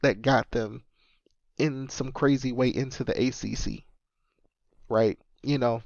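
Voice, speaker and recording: A man's voice: medium pitch, fairly even intonation, a little slow, vocal fry, darkish tone, dry recording, muffled recording. A man in his thirties hosting a casual solo sports podcast, talking emphatically and repeating his points for stress. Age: 30s. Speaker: podcast host and sports fan.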